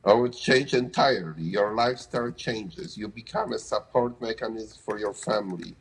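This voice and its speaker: A man's voice: lowish voice, moderate pace, creaky, husky, rough recording. A middle-aged man with a Polish accent answering interview questions casually, often restarting phrases and adding "you know". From Poland. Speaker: interviewee, anesthesia provider.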